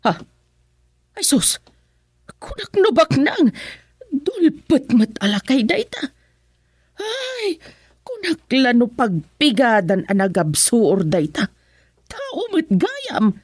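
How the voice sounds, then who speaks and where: A woman's voice: sits high, wildly swooping pitch, slow, long pauses, a little mellow, husky, no echo, clean studio sound, heavily acted. A woman acting a haughty, fussy, dismissive village woman in a radio comedy drama, with an Ilocano accent. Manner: exclaiming, dismissive.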